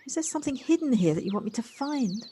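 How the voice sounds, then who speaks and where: Woman acting a courteous, hesitant lady, fairly high voice, radio-play delivery, home device recording, slight background noise. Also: expressive, fast talker, dark tone. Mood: puzzled, wistful. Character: Jocelyn, polite warm woman settling into a house. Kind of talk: lockdown audio play acting.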